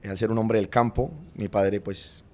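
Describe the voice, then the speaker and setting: A man with a Colombian accent, a podcast guest, reflectively recounting his family's past in an earnest, unhurried way. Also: lowish voice, flat delivery, darkish tone. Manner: earnest, reflective, frequent "digamos" and "pues" fillers. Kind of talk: personal storytelling in conversation.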